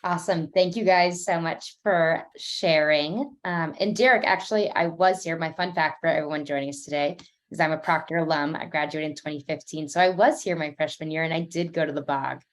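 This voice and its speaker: A woman's voice: sits low, fairly even intonation, brisk. Chatty young woman hosting a video panel. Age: young adult. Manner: chatty, upbeat, many fillers. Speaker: admissions officer hosting an online panel. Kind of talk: casual online panel hosting. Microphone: video call.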